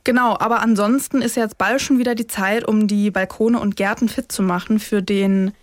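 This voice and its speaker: Female podcast co-host, informal and chatty, mid-range pitch, dry close recording. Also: steady tone, fairly quick, no room sound. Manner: chatty, informal, laughs easily. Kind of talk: casual chat between co-hosts.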